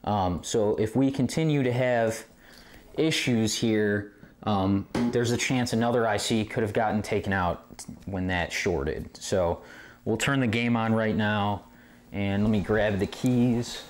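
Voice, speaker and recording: A man's voice: on the low side, unhurried. A man giving a casual hands-on repair tutorial as host, recorded indoors on a camera mic a short distance away. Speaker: host of pinball repair videos. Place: indoor game room among arcade machines. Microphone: camera on tripod, a short distance away. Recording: camera mic with clear background noise, clicks.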